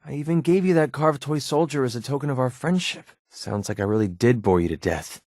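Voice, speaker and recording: An actor playing a wry, guarded young American mercenary companion in a video game, talking in a sardonic, conversational way. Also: male voice, mid-range voice, brisk, darkish tone, dry recording, studio quality, natural voice. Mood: disappointed. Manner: sardonic, conversational, hesitant asides.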